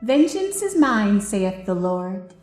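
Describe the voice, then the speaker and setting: A woman's voice: medium pitch, very animated, slow, darkish tone, reverberant, faint music behind. A woman playing a vengeful ten-year-old girl who declaims solemnly in an audio drama. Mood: solemn, defiant.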